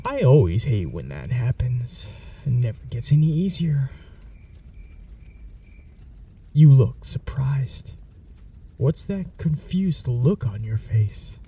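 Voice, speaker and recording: A man voicing a smug, teasing male vampire in an ASMR audio roleplay, talking in a soft half-whisper that slides into mockery. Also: mid-range voice, sing-song, slow pace, husky, distant mic, heavily acted. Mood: cold.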